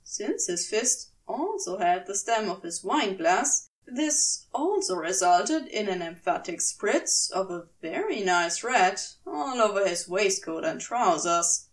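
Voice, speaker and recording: A woman reading a scripted story aloud as an amateur audiobook narrator, with expressive delivery. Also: mid-range voice, takes it slowly, few pauses, recorded from a distance, low-quality recording.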